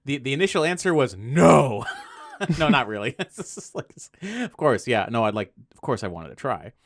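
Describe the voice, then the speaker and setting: A man talking casually on a podcast, explaining his ideas in a rambling, animated way. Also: medium pitch, normal speed, no room sound, clean studio sound. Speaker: game designer and podcast guest.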